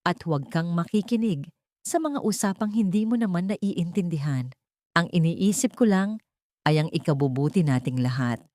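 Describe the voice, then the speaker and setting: A woman narrator plays a cold, dismissive husband in a storybook drama, issuing curt commands. The voice is low and mellow, recorded dry and close. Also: normal speed, dry recording, natural voice.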